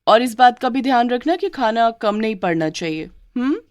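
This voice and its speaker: A woman voicing a spoiled, arrogant young daughter-in-law in a cartoon story, high and demanding. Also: sing-song, normal speed, no echo, studio quality. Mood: bossy. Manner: high, demanding, sugary to elders, sharp to the maid.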